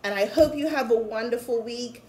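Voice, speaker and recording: A middle-aged woman reading a storybook aloud to young children, with chatty asides, in an expressive, encouraging way. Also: on the high side, moderate pace. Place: small room at home, indoors. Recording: echoey small room.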